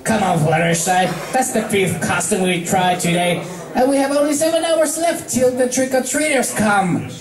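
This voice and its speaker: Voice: medium pitch, normal speed, a crowd in the background, pitched above the performer's own voice, close to an everyday voice. A person voicing a brash, impatient young female pegasus pony who pushes and coaches, in a story reading. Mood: exasperated. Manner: pushy, exasperated. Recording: echoey room, distant mic.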